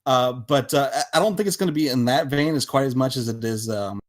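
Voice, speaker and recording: A man speaking casually as a podcast guest, with halting, hesitant phrasing as he explains things. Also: medium pitch. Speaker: podcast guest, visual novel developer. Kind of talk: casual interview talk.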